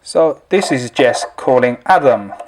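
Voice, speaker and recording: A man's voice: mid-range voice, expressive, unhurried. A man presenting an informal, unscripted product tutorial, explaining things step by step as he demonstrates.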